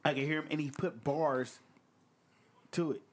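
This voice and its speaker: Husky light-voiced man, casual host. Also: a little high, moderate pace. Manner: loose, slangy, swears freely. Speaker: podcast host reviewing music. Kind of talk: casual chat.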